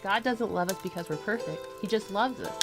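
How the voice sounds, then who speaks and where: A woman's voice: mid-range voice, a little fast. A woman patiently explaining an old legend to a girl in an audio drama. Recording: music under the voice.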